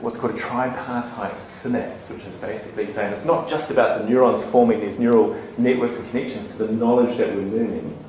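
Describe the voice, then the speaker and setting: A man presents at a live conference, explaining ideas to an audience of educators in a relaxed, animated way. He keeps tossing in quick "right?" and "okay?" checks to keep the room with him. The sound is roomy and echoey, with a faint hum of ambience behind him. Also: lowish voice. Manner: animated, chatty, asks the audience questions, many "okay?" and "right?" tags.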